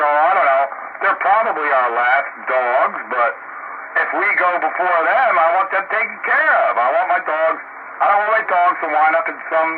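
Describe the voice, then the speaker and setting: An older man chatting casually over the radio in a blunt, folksy way. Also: fairly high pitch. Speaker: amateur radio enthusiast on a round-table net. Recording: tinny narrowband radio link, loud static.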